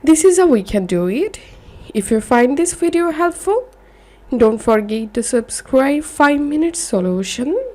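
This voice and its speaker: A woman's voice: high voice, big pitch swings, unhurried, mellow. A woman reading a scripted how-to narration in a step-by-step, instructional manner. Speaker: tutorial narrator.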